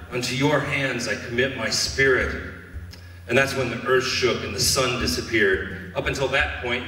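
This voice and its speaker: A man acting a shaken, remorseful Roman guard officer in a church stage drama, speaking in an earnest, halting confession. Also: mid-range voice, sharp tone, close to an everyday voice. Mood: grave, awed. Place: church hall stage performance. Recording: echoey hall, distant mic, faint music.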